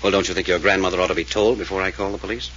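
An actor playing a wisecracking male amateur detective in a 1940s radio drama, questioning in a brisk, wry, probing manner. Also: low-pitched, steady tone, a bit bright. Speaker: detective figure. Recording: dull old radio recording with faint hiss.

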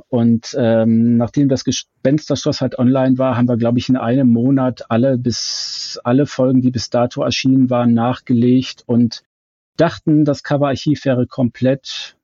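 A man gives a detailed, carefully worded explanation as a guest in an interview. His voice is mellow and mid-pitched, in a dry recording that sounds slightly muffled. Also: breathy edge, no echo. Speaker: fan website co-founder, podcast guest.

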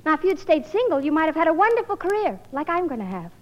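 An actress playing an earnest, proper young college-age daughter in a 1950s radio comedy. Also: female voice, high-pitched, big pitch swings, quick pace. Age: young adult. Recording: muffled old radio broadcast, echoey hall.

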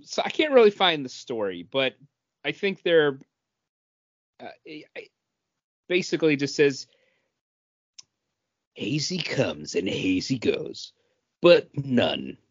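A man's voice: medium pitch, fairly bright tone, no echo, dull audio. A man co-hosting a podcast, explaining things casually and sometimes reading aloud. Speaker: podcast co-host.

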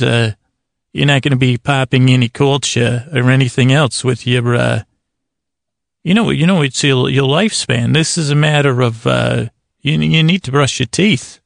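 Man playing a creaky, scolding old grandmother. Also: medium pitch, fairly even intonation, normal speed, no room sound, studio quality, lightly acted. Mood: nagging. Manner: fussy, reproving. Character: scolding, nagging old grandmother obsessed with toothbrushing.

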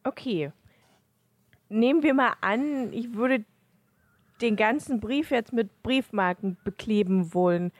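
A woman playing a naive, timid post office customer who speaks awkwardly and haltingly in an amateur audio drama. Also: fairly high pitch, dry recording.

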